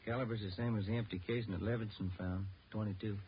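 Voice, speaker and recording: An actor playing a steady police detective partner in a 1950s radio drama, brief and matter-of-fact. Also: male voice, fairly low pitch, quick pace, natural voice. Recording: dull old radio recording, faint noise.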